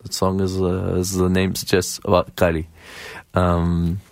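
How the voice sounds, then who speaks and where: A man with a Persian accent and a very low, gravelly voice hosts a music radio show. He talks loosely, full of fillers and restarts, in a slightly nasal tone. The sound is dry and close. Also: completely flat delivery, a little slow, dry recording. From Iran. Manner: rambling, with many fillers and restarts. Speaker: radio host. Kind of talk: casual music radio chat.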